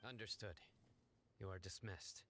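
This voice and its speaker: Low, stern commander. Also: male voice, a little slow, bright, forceful tone, a little hiss or hum, exaggerated voice. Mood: calm, resolute. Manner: serious, terse, controlled. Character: stern, composed commanding male officer.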